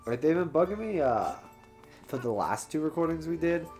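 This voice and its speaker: A man's voice: fairly high pitch, animated, normal speed, darkish tone, soft background music. A man hosting a let's play, giving casual, joking commentary as he plays.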